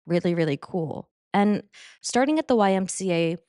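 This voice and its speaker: A middle-aged woman hosts a fitness podcast with an upbeat, chatty interviewing manner. Her voice sits a little low for a woman. The recording is dry and close, with no room echo. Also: lively intonation, moderate pace, dark tone. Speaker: podcast host. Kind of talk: scripted intro then casual interview. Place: New York City.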